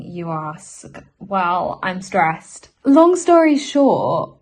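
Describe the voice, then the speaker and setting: A woman's voice: medium pitch, slight background noise. A British woman in her twenties chatting casually to camera in a self-deprecating vlog style. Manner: chatty, self-deprecating, repeats phrases for emphasis. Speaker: vlogger.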